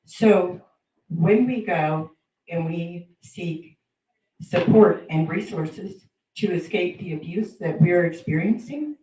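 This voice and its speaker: Mellow woman, roomy. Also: fairly low pitch, takes it slowly, slight background noise. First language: Pennsylvania Dutch. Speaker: panel speaker, researcher. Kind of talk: panel discussion talk. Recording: echoey room, distant mic.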